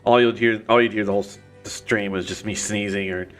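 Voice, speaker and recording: Low-voiced sick male streamer, nasal and stuffy, loose hesitant chatter over quiet game music. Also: steady tone, fast talker. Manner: rambling, hesitant, many fillers. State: nasal, recovering from illness. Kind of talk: casual gameplay commentary. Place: home gaming setup. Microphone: desk mic.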